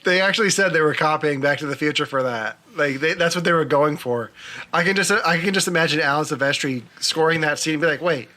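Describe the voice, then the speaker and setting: Bright-toned man in casual discussion. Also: a little high, fast. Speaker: podcast co-host. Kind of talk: casual chat about films.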